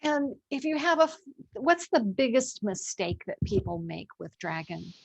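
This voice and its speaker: A woman's voice: a little high, big pitch swings, moderate pace, vocal fry, husky, no echo. A woman asking questions and responding conversationally as an interviewer.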